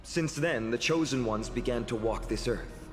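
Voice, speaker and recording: An actor playing a gracious, solemn young warrior hero in a video game, speaking in a measured, formal and sincere way. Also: male voice, mid-range voice, long reverb tail, soft background music, natural voice. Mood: solemn, reflective.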